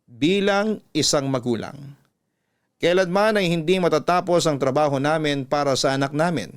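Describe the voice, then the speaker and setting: A man with a Filipino accent reading a letter as scripted dramatic narration, measured and earnest. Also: on the high side, smooth. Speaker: radio story host reading a letter.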